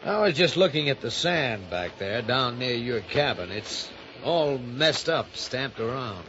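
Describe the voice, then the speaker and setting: A police detective questions with sly casualness in a 1950s radio mystery. His mid-pitched voice moves up and down in a lively way. The recording is old, with clear noise. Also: a little slow, muffled recording. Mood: probing suspicion. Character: probing, falsely casual male police detective.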